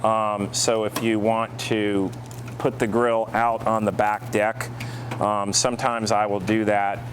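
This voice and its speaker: Low-voiced man over noticeable background hum. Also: level tone, slow pace. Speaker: boat store staff presenting products. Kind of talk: casual product review.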